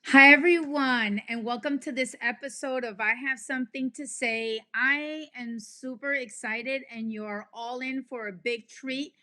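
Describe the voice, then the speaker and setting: A woman hosts a conversational interview show. Her voice sits a little higher than usual, and she speaks with gushing emphasis. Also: fairly even intonation, unhurried, hardly any pauses, sharp tone. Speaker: podcast host.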